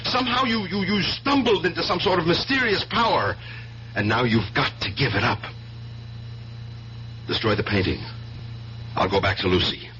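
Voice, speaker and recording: Desperate, urgent brother, sharp voice. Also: male voice, fairly high pitch, lively intonation, normal speed, pauses often. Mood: grave, pressing. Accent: American. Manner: urgent, dramatic, pleading delivery. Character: desperate, insistent man guarding his endangered sister. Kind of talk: 1970s radio drama acting. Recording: dull, hissy 1970s radio recording.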